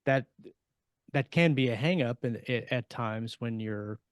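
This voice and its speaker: A middle-aged man discussing books casually as a podcast co-host, explaining in a halting, measured way. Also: mid-range voice, clean tone, no echo, clean studio sound. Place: indoor room on a video call.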